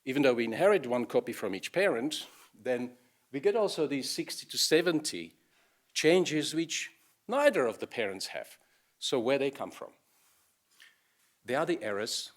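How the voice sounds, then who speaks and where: A man explaining genetics in an explanatory lecture style. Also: mid-range voice. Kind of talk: science lecture.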